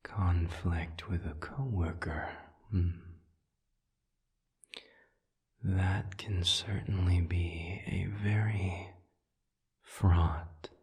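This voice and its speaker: A man playing a suave, patient demon in an audio roleplay, speaking in a breathy half-whisper with measured, formal, soothing phrasing. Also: low-pitched, a little mellow, full character voice. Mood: calm, analytical. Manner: measured, deliberate, formal phrasing with long pauses. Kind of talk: audio roleplay, intimate one-sided dialogue.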